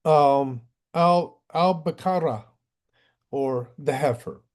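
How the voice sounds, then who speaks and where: A man explaining theology off the cuff in a deliberate, didactic way, with halting pauses and a habit of clearing his throat. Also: fairly high pitch, a little mellow. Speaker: religious teacher.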